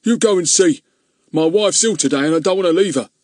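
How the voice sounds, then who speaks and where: A shuffling old villager with a hoarse voice, pushed high and a little louder, as a man puts him on in a storybook reading. Close and dry. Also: fairly quick, no room sound, natural voice. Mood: gruff. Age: older.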